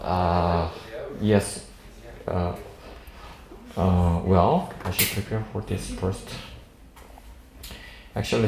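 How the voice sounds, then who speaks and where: Casting: male seminar lecturer, forties, native Korean with accented, halting English, jovial manner, fairly low pitch, recorded in an echoey room. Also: slight background noise. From South Korea. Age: 40s. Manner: halting, jovial non-fluent English with frequent chuckles. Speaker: plastic surgeon lecturing at a medical seminar. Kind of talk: informal seminar presentation to an audience.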